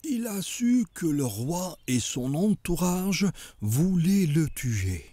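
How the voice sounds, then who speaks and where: A man's voice: fairly high pitch, sing-song, slow pace, mellow, breathy edge, dry recording, full character voice. A man voicing a kindly old grandfather sage who tells stories in a patient, solemn way in a cartoon. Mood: patient, earnest. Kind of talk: cartoon storytelling.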